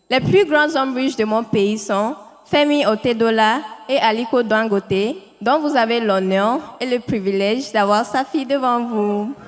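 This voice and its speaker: Young woman, fairly high voice, confident declaiming, echoey hall. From Nigeria. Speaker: student. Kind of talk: formal welcome speech. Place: hotel ceremony hall.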